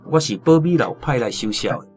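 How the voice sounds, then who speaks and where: Animated, insistent debt collector. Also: male voice, mid-range voice, fairly quick, husky, soft background music. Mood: polite, insistent. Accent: Taiwanese. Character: businesslike restaurant debt collector, polite but insistent. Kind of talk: radio drama acting.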